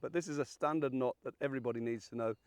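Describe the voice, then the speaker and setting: Hoarse older man, dry close sound. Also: medium pitch, racing, dry recording. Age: 70s. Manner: chatty, folksy, self-deprecating. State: hoarse, croaky from illness. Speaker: fishing channel host. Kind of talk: casual how-to demonstration.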